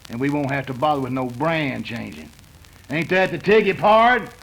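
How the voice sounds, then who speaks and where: An actor playing a menacing outlaw gang boss with a folksy cowboy drawl, in 1930s western radio style. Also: male voice, mid-range voice, lively intonation, moderate pace, gravelly, a bit bright, rough recording. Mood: threatening, smug.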